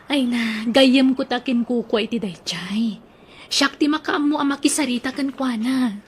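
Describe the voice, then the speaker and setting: A woman acting in an Ilocano radio drama, high-voiced and gentle. She speaks in a soft, reassuring way. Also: moderate pace, slight background noise. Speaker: actor in audio drama.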